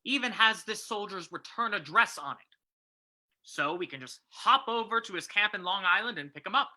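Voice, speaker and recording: Woman acting a forceful, hard-boiled police commissioner. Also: medium pitch, lively intonation, normal speed. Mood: gruff, accusing. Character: gruff, brisk, sarcastic male police commissioner. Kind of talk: old-time radio play reading.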